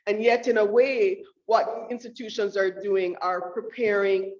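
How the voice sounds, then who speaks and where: A woman's voice: medium pitch, fairly even intonation, a little mellow. Thoughtful woman in a roomy hall. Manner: thoughtful, halting, many restarts and fillers. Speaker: featured author at a book talk. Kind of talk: academic talk about her research. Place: university lecture room. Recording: roomy, dull room audio.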